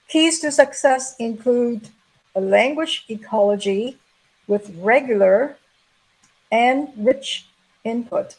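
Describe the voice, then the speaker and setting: Middle-aged woman, plenary lecturer; measured, explanatory delivery of prepared remarks, pitched a little high. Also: a little mellow, low-quality recording. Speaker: plenary speaker. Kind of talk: academic conference lecture.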